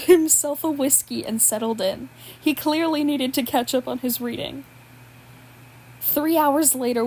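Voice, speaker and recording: A woman's voice: high voice, darkish tone, slight background noise, rough recording. A woman reading fan fiction aloud, breaking into laughs as she goes. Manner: laughs at the text while reading. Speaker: podfic reader.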